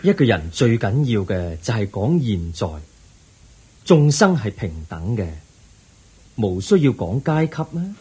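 A man with a Hong Kong Cantonese accent playing the Buddha, a calm, wise teacher who counsels gently and patiently in a radio drama. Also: on the low side, measured pace, breathy edge, exaggerated voice.

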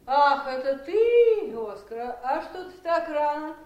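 A woman's voice: sits high, sing-song, takes it slowly, darkish tone, muffled recording, exaggerated voice. An actress playing a haughty, cool lady of the house in a radio drama, languid and dismissive. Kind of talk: theatrical radio drama acting. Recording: echoey room, voice set back.

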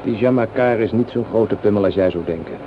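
An actor playing an earnest, idealistic young French soldier in a Dutch radio play, speaking in a thoughtful, measured way. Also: male voice, on the low side, natural voice. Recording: muffled, noisy old radio play recording.

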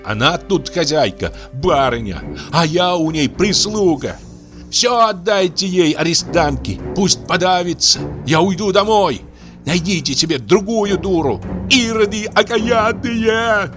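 A man's voice: high-pitched, animated, a little slow, over music, pitched above the performer's own voice. A man playing a shrieking, furious young woman. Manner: shrieking accusations. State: sobbing with rage.

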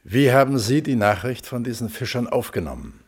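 An actor playing a sceptical, reflective panel member who questions a scientist pensively and probingly in a German radio play. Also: male voice, mid-range voice, big pitch swings, a little fast, husky.

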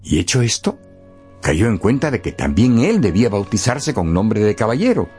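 A man narrating a radio story expressively and theatrically, with dramatic pauses and emphasis. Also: fairly low pitch, very animated, darkish tone, faint music behind.

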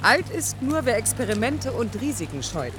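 A woman's voice: a little high, big pitch swings, moderate pace, noisy background. An actress playing a defiant, idealistic young woman architect in a radio drama, challenging and sarcastic in impassioned dialogue. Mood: teasing, sarcastic. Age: young adult.

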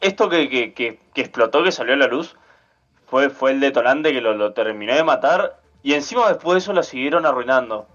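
A man's voice: mid-range voice, animated, brisk, fairly bright tone. A man co-hosting a casual podcast, dropping dry, sarcastic remarks. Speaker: podcast co-host. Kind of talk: casual chat. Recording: dull lo-fi sound, faint music.

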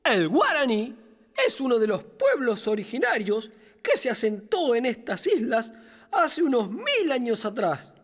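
A woman's voice: fairly high pitch, unhurried. A woman playing a friendly, wise capybara who patiently explains things to a child in children's radio theatre, with an Argentine accent. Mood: patient, explaining. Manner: patient, expressive explaining, storyteller-like. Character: friendly wise capybara guiding a little girl.

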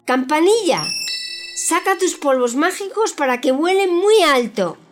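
A woman's voice: high voice, animated, unhurried, over music. A woman playing a brave, boastful young boy hero in a children's audio drama, bold and theatrical. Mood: confident.

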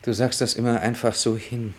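A man's voice: mid-range voice, level tone, moderate pace, a little hiss or hum, lightly acted. An actor playing a weary, morbid, resigned man in a radio play, subdued and terse.

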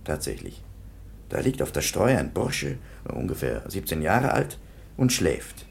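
A man's voice: sits low, a little slow, gravelly, full character voice. An actor playing a perplexed, somewhat dismissive police commissioner giving his report in a radio play. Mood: bemused, recounting. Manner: animated storytelling, dismissive.

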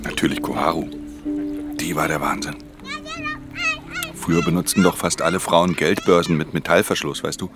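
A man's voice: extremely low pitch, steady tone, normal speed, pauses often, over music. An actor playing an aloof, weary male pickpocket in a radio play, terse and understated, often dropping into a near-whisper. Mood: quiet, resigned. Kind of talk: German radio play acting with intimate first-person narration.

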